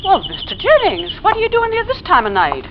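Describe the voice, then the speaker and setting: Gossipy, animated old-radio landlady. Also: very high voice, fairly quick, exaggerated voice. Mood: surprised. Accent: American. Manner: colloquial, homespun, chatty. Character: nosy, gossipy, folksy landlady, plain-spoken and skeptical. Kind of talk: 1940s radio drama acting. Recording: dull, noisy old radio recording.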